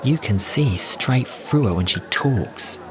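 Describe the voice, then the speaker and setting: A man's voice: mid-range voice, animated, slow pace, background noise, pitched above the performer's own voice. A man voicing a blunt, defiant young woman adventurer in an audio story. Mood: wry.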